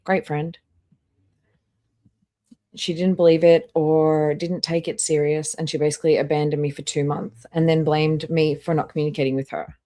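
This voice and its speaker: A woman's voice: deep voice, level tone, moderate pace, mellow. A woman live streamer giving casual commentary and reading out chat, with a disapproving, dryly sarcastic manner.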